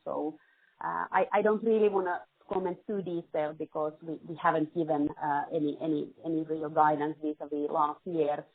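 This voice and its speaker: Narrowband phone line, dry sound, woman executive, careful measured answers, conference call Q&A. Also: low voice, dry recording. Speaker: company CFO answering analysts on a conference call. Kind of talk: spontaneous business Q&A on an earnings call. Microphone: telephone.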